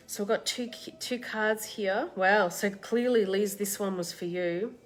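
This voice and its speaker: A woman with a slight cold and a tickly throat, hosting a live card reading and giving soft, reassuring advice to viewers by name. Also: medium pitch, measured pace. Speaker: card reader and meditation host. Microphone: live-stream setup.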